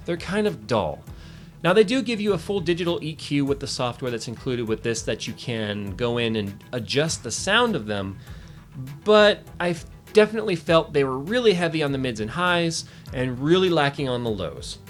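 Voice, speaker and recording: A man talks through a casual tech review over faint music. He is chatty and jokey, often breaking off his own sentences to add asides. His voice sits at an ordinary middle pitch. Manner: chatty, jokey, self-interrupting. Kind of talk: casual product review to camera.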